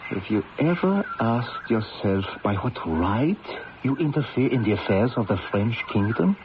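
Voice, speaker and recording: Stern emperor, sweeping dramatic delivery. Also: male voice, medium pitch, very animated, a little slow. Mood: stern, reproachful. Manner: lecturing, oratorical, reproachful. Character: stern scolding Austrian emperor, disapproving older brother. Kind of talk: old-time radio drama acting. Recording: dull lo-fi radio, faint noise.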